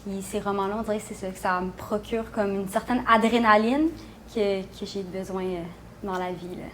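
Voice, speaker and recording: A woman's voice: mid-range voice, steady tone, moderate pace, a bit bright, slight background noise. A young woman with a Quebec French accent, chatting casually and informally, full of little filler phrases. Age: young adult. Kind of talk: casual interview chat.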